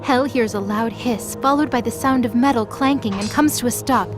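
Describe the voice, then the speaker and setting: A woman's voice: a little high, normal speed, music in the background. A woman giving scripted, dramatic narration as the storyteller of an audio drama.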